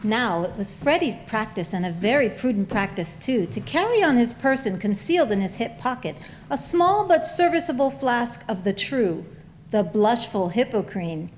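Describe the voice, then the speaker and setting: A woman's voice: a little high, animated, moderate pace, a little hiss or hum. A woman reading scripted comic narration as the storyteller of a staged reading. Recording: roomy, echoing live recording.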